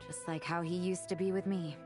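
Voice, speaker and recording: A woman playing a composed, thoughtful lore-teller in a fantasy video game, measured and articulate. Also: fairly low pitch, steady tone, close to an everyday voice. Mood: reflective, gentle. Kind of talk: video game character dialogue, storytelling. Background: music.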